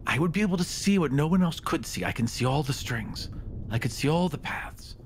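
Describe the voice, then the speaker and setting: A man playing a proud, scheming mortal petitioner in a tabletop fantasy game, with a theatrical, halting delivery. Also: a little high, expressive, a little hiss or hum. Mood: earnest persuading. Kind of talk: tabletop roleplay acting.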